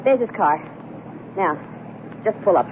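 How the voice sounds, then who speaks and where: An actress playing a scheming, coolly commanding wife in radio drama, with a brisk, insistent, persuasive manner. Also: female voice, medium pitch, animated, natural voice. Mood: urgent, commanding. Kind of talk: 1970s radio drama acting. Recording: tinny, noisy old radio broadcast.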